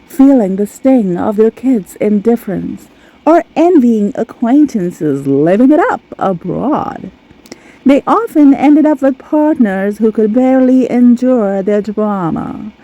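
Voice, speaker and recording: A woman's voice: on the high side, mellow, dull audio. A woman delivering scripted commentary on reality TV in a theatrical, sardonic manner. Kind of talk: scripted narration.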